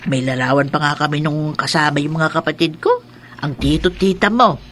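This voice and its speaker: A woman's voice: deep voice, moderate pace, a bit bright, a little hiss or hum. A woman playing a feisty, doting old grandmother in a radio drama, folksy and exclamatory. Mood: reminiscing. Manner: folksy, exclamatory, teasing and fussing.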